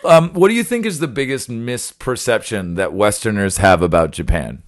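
A man hosting a casual podcast interview from his apartment, self-deprecating and quick with jokes. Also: on the low side, lively intonation. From United States. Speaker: podcast host.

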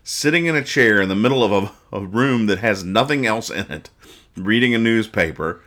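A man hosting a podcast, giving casual comic commentary with mock-serious, exasperated deadpan nitpicking. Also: on the low side, normal speed. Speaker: podcast host. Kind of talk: comedic casual commentary reading comic strips aloud.

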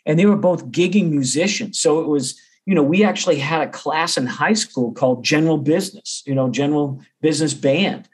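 A man's voice: on the high side, fairly quick, few pauses, husky. A middle-aged American man talking casually and conversationally as an interview guest about his own experience. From Boston area, United States. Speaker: percussion teacher, interview guest.

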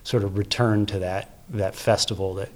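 A man's voice: fairly low pitch, steady tone, normal speed. A man speaking as an interview guest in a conversational, explanatory way, thinking aloud as he goes. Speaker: interview guest, theatre festival curator and producer.

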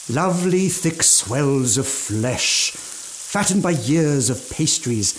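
Radio drama: eccentric older epicure, fussy and food-obsessed, slow delivery with animated, swooping pitch. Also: male voice, a little hiss or hum, low-quality recording. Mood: savoring relish. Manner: fussy, savoring, old-fashioned genteel speech. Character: eccentric aging gourmand mountaineer, genteel, ghoulishly food-obsessed cannibal. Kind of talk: theatrical radio drama monologue.

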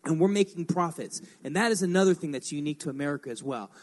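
A man with a somewhat high voice speaks as a regular on a radio discussion program. He is opinionated and emphatic, building his argument one step after another. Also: fast talker.

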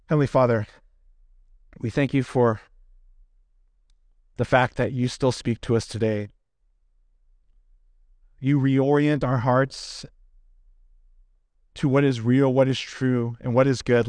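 A man preaching as a pastor to his congregation, earnest and deliberate, with emphatic phrasing in measured lists. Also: medium pitch, steady tone, darkish tone, no room sound. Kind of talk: expository sermon closing in prayer.

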